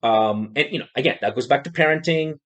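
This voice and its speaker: A man's voice: medium pitch, fast, a bit bright, highs cut off. A man hosting a podcast who talks in a casual, rambling way, often restarting and asking "right?". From Poland. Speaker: podcast host. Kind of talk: casual discussion.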